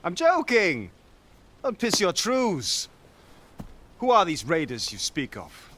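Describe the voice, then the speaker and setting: A bold and wry Viking warrior leads with commanding lines in a game cutscene. His voice sits a little high for a man and swings with lively intonation. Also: slow pace, slight background noise, full character voice. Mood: teasing. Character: bold young male Viking warrior leader, wry but honorable. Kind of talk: dramatic video game acting.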